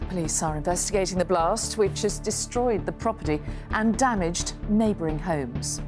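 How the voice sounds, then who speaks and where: A woman presenting regional evening news with a bright, upbeat delivery as she reads the headlines. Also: lowish voice, normal speed, over music.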